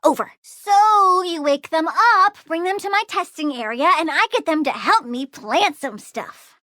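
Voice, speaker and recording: A woman's voice: very high-pitched, pushed and bright, no echo, clean studio sound, full character voice. A woman playing a precocious young girl plant scientist and budding supervillain in a video game, chirpy and smug with childlike know-it-all menace and teenage sarcasm. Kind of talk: video game character voice lines.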